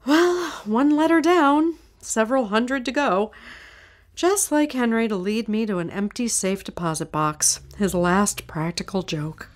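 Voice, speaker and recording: A woman's voice: fairly high pitch, measured pace, close to an everyday voice. An actress playing a haughty, sardonic wealthy woman in an old-time radio drama, with a theatrical, imperious delivery. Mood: scornful, mocking.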